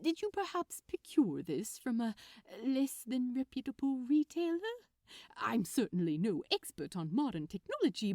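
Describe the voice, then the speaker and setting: A woman voicing a bright, polite, gentle male gift-wrapper with a courteous, prim manner in a story reading. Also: on the high side, dry recording, clean studio sound.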